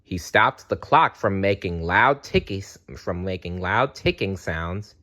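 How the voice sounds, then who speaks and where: A man narrating a children's story aloud in an expressive storytelling style. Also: deep voice, a little slow.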